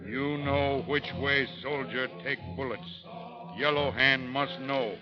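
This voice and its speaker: An actor playing a stern, threatening war chief in an old radio drama, speaking broken, clipped English in short commands. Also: male voice, medium pitch, fairly even intonation, slow pace, lightly acted. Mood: menacing. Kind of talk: 1930s-40s radio drama acting. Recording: muffled vintage radio recording, music underneath.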